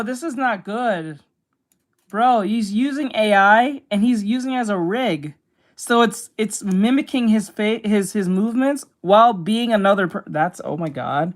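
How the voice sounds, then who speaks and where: A woman artist chatting casually while she draws, reacting with quick exclamations and plenty of swearing. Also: medium pitch, expressive. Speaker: artist streaming while drawing. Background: music.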